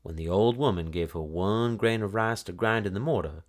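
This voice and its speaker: A man reading a children's story aloud as an expressive storyteller. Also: fairly low pitch, normal speed.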